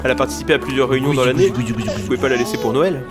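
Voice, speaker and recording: Actor as an upbeat parent on the team, mid pitch, music underneath. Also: racing, lightly acted. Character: upbeat team member who came with a daughter. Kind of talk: amateur audio drama acting.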